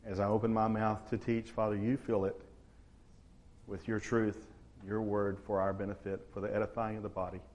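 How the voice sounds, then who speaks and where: A man, preaching a sermon to his church in a relaxed, conversational way. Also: fairly low pitch, dull audio. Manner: conversational, unhurried, frequent uh fillers. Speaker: pastor.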